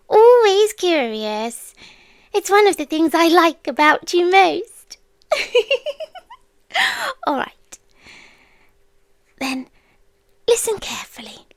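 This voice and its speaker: A British woman playing an ancient, possessive, inhuman stalker in an intimate ASMR roleplay, speaking in a hushed, deliberate, teasing way that slips into whispers. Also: sits high, no room sound, full character voice. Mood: teasing, curious.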